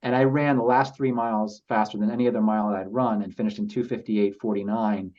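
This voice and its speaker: A man speaking as a podcast guest, telling personal stories in a halting, reflective and earnest way. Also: on the low side, steady tone, a little mellow. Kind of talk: casual interview storytelling. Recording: slightly dull lo-fi audio.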